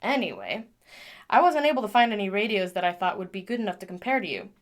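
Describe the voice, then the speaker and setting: A woman playing a chatty, gentle mechanic in an audio drama, rambling affectionately to a broken machine. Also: mid-range voice, sing-song, quick pace, lightly acted. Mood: fond, chatty. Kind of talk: audio drama monologue, conversational.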